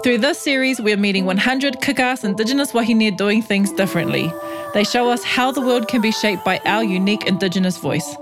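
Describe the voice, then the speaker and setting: A woman's voice: medium pitch, fairly quick, over music. New Zealand woman hosting a podcast. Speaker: podcast host. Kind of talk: conversational interview with scripted introduction.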